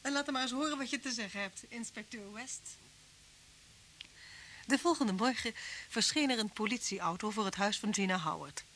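An actress plays a capable, motherly wife in a radio drama. Her voice is on the high side and good-humoured, with faint hiss from an old recording. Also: normal speed, pauses often, a bit bright, close to an everyday voice. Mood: narrating. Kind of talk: radio drama acting and narration.

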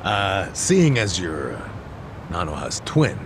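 An actor playing an earnest, gruff middle-aged man in a video game, speaking hesitantly with a gravelly voice. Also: deep voice, huge pitch swings, takes it slowly, background noise, exaggerated voice. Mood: baffled. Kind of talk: English video game cutscene dub. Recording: music behind the voice.

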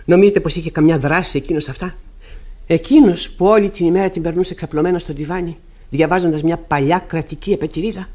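Stern widowed mother played by an actress, tragic radio theatre, grave measured delivery, bitter but controlled. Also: lowish voice, a little mellow, natural voice. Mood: bitter, resolute. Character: stern, embittered widowed mother in Ibsen tragedy. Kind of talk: classic Greek radio theatre acting.

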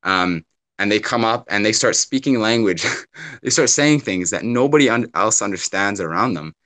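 A man's voice: fairly low pitch, animated, moderate pace, a bit bright. A young man on a video call, earnestly explaining his view with everyday examples and many fillers. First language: English. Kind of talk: casual debate on a video call. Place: small indoor room. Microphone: phone or laptop on a video call.

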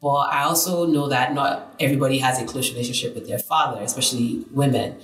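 Woman telling lively stories. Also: very deep voice, quick pace, reverberant, poor audio. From Nigeria. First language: Yoruba. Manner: animated storyteller, acts out voices in her tales. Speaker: podcast guest. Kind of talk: casual storytelling interview.

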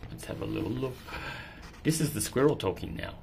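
A woman's voice: rumbling low, animated, a little fast, gravelly, darkish tone, breathy edge. A woman storyteller reading a picture book to a child, with casual, encouraging asides to the listener.

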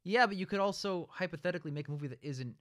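A man's voice: sits high, very fast, a bit bright. A man co-hosting a casual discussion, argumentative and insistent in making his points. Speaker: podcast co-host.

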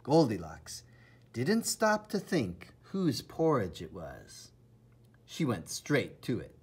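A man narrates a children's picture book in a husky, breathy voice. He reads slowly and theatrically, with lively swings in pitch and dramatic pauses. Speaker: storybook reader. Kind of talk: children's read-aloud narration.

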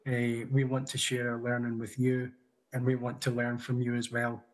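A man in his thirties giving a prepared conference talk over a video call from a room at home, measured, with small restarts. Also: mid-range voice, monotone, poor audio. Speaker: conference speaker. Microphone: video call webcam.

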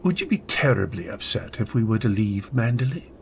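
Gravelly, troubled gentleman played by a man. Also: fairly low pitch, sing-song, fairly quick, slight background noise. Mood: weary, earnest. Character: troubled, brusque English gentleman husband. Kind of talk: old-time radio drama acting.

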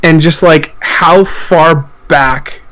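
A man's voice: on the high side, extremely slow, a bit bright, poor audio. A man co-hosting a casual podcast about video games over voice chat, talking informally with plenty of swearing. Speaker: podcast co-host. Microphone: voice chat call.

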